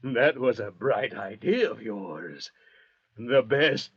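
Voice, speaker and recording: An actor playing a sly, thirst-crazed castaway with a menacing mock courtesy, in a 1940s radio drama. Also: male voice, mid-range voice, expressive, slow pace, fairly bright tone, breathy edge, full character voice. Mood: menacing, gloating. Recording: muffled restored 1940s radio recording.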